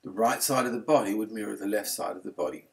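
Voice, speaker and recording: A man guiding a relaxation meditation as a yoga nidra instructor, speaking in a calm, soothing, unhurried manner with gentle phrasing. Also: on the low side, creaky.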